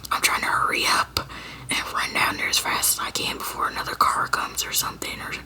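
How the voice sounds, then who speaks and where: A young woman from Georgia in the US, telling a personal story to her viewers in a halting whisper, full of fillers and restarts. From Georgia, USA. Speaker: storyteller vlogger.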